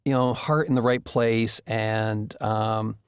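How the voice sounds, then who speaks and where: A man with a creaky voice in a casual interview, thinking aloud in halting phrases. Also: lowish voice, fairly even intonation, no room sound, clean studio sound. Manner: halting, thinking aloud with repeated "you know". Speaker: director and voice actor being interviewed.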